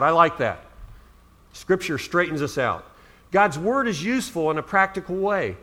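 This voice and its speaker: Middle-aged pastor guy from ohio preaching, high bright voice, earnest, punches key words. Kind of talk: sermon.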